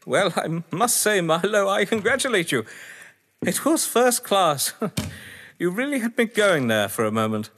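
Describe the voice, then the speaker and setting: An actor playing a proud, excitable mystery writer in an audio drama, theatrical and indignant in manner. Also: male voice, high voice, a little slow, slight background noise, full character voice. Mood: admiring, jovial. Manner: theatrical, indignant, well-spoken. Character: proud, excitable English mystery writer, gamesman under suspicion. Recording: long hall-like reverb.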